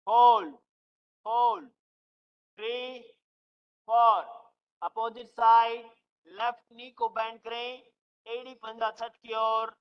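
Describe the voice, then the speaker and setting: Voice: medium pitch, flat delivery, takes it slowly, long pauses, clear, resonant tone. A yoga instructor leading an online class with short, commanding cues and counted holds. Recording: muffled lo-fi online call audio.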